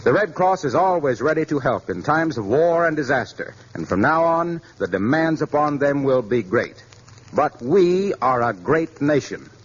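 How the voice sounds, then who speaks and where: A man's voice: fairly high pitch, big pitch swings, moderate pace, slightly rough. A man with an American accent announcing in formal, declamatory 1940s radio style from a script. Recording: muffled 1940s radio, faint noise.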